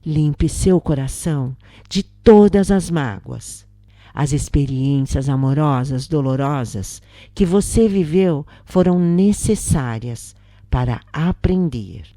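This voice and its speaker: A calm female spiritist counselor played by a woman narrator gives solemn guidance in a Brazilian accent. Her storybook lines come slow and low, with a mellow, reassuring tone. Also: no room sound, studio quality. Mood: reassuring, instructive.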